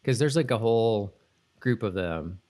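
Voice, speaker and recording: Low-pitched middle-aged man chatting, hesitant and rambling. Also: lively intonation, normal speed, darkish tone. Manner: hesitant, rambling, thinking aloud. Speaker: podcast co-host. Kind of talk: casual TV episode recap chat.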